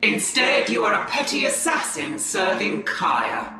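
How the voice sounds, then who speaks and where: An actress playing a stern, haughty ancient alien warrior lord in a game, commanding and taunting in a deliberate way. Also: female voice, mid-range voice, huge pitch swings, creaky, exaggerated voice. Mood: scornful. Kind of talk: theatrical game cinematic acting. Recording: heavy otherworldly echo.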